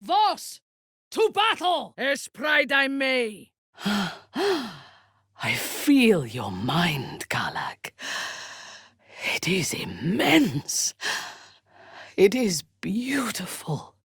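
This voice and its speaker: An actress playing a ruthless, haughty drow noblewoman in a video game, with a husky voice and formal, imperious, menacing delivery. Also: mid-range voice, huge pitch swings, drawn-out words, long pauses, fairly bright tone, no room sound, clean studio sound, exaggerated voice. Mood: battle cry, then intimate, solemn. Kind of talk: video game voice acting, dramatic character lines.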